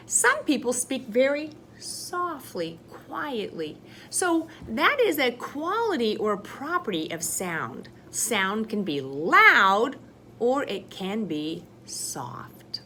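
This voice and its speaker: Slow, lively-voiced woman teacher. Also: a little high, slight background noise. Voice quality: loud voice. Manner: slow, sing-song teacher talk addressing children. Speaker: first grade teacher. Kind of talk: recorded classroom lesson for children.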